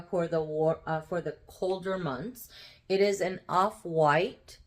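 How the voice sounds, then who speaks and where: Nasal, congested woman chatting about polishes. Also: lowish voice. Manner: rambling, upbeat, with filler ums and soft laughs. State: full after eating. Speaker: nail polish vlogger. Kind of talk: casual chatty product talk.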